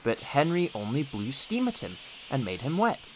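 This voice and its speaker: A man narrating a scripted children's story as an audiobook, expressive and deliberate in his storytelling. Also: medium pitch, a little hiss or hum, poor audio.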